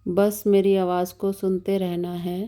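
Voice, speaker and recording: Very slow, mellow woman guiding meditation. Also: fairly low pitch. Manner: calm, measured, soothing instructions with long pauses. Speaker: yoga nidra meditation instructor. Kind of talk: slow guided meditation narration.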